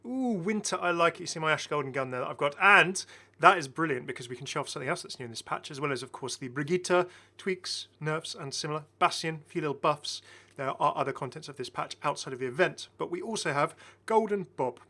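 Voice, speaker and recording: A man's voice: on the high side, fast, hardly any pauses, sharp tone. A man giving casual, enthusiastic game commentary as a chatty, rambling video host.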